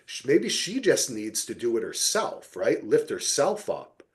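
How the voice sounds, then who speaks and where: A man hosting a podcast, chatting casually about television in a rambling, animated way and swearing freely. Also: mid-range voice, unhurried. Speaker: podcast host. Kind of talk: casual TV recap discussion.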